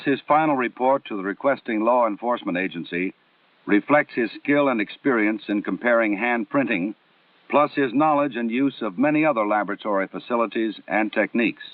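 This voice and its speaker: Male American narrator for a vintage 1960s training film: formal, measured, exact diction, thin band-limited audio, slightly off-mic. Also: lowish voice, no echo, far from the mic. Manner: measured, instructional, precisely enunciated. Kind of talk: formal scripted documentary narration. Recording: muffled narrow-band 1960s film soundtrack.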